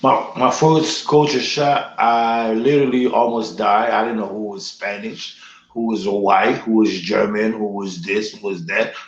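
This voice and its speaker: A man's voice: lowish voice, fairly even intonation, a little slow. Man in an echoey room. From Haiti. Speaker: podcast guest. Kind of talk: casual chat. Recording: echoey room, dull lo-fi audio.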